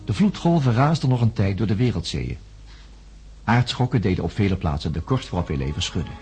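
A solemn, reflective radio play narrator. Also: male voice, lowish voice, very animated, a little mellow, husky, faint music behind, full character voice. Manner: solemn, contemplative, dramatic pauses. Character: reflective man who studies the human mind. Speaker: narrator recounting events.

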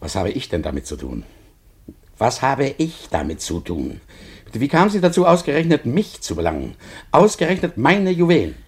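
An actor playing an aggrieved, insistent man in a German radio drama, questioning with indignant pressure in a husky, gravelly voice. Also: medium pitch, wildly swooping pitch, normal speed.

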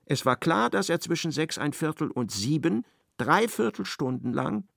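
Expressive male radio narrator. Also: on the high side, moderate pace, gravelly, no echo, studio quality. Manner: ironic, expressive storytelling. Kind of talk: scripted radio play narration.

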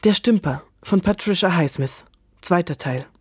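Casting: woman for scripted radio credits, lowish pitch, unhurried, dry studio sound. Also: expressive, breathy edge, dry recording. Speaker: announcer.

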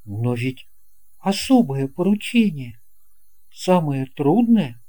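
An earnest young boy from an audio fairy tale, voiced by a narrator. He is curious and slightly cautious. The delivery is very soft-toned and slow, with plain, sincere phrasing. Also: mid-range voice, very animated, soft background music.

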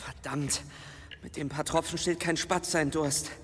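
A man's voice: fairly high pitch, steady tone, normal speed, slightly rough. An actor playing a complaining, reckless boy in a radio play, sometimes straining with effort. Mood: grumbling. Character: grumbling, reckless, thirsty boy from the seaside. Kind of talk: dramatic radio play acting. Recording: reverberant cave effect, noisy water sounds.

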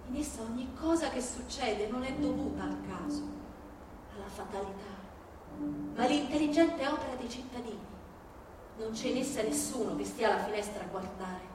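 A woman giving a dramatic theatrical recitation, declaiming emphatically in short, deliberate phrases. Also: a little high. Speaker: stage performer reciting a political text. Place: live theatrical reading in a reverberant space. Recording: echoey hall, far from microphone, faint noise.

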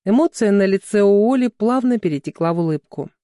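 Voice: medium pitch, lively intonation, a little fast, mellow, no room sound, studio quality. A narrator reading scripted fiction. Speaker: audiobook narrator.

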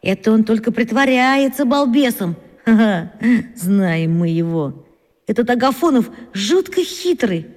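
Scheming schoolboy in long reverb. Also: female voice, a little high, expressive, unhurried, a little mellow. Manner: muttering to himself in bursts. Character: scheming, self-important schoolboy playing detective. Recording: long reverb, as inner thoughts.

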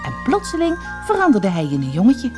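A woman narrating a children's fairy tale as a storyteller, reading in an expressive, dramatized way. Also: fairly high pitch, fairly quick, over music.

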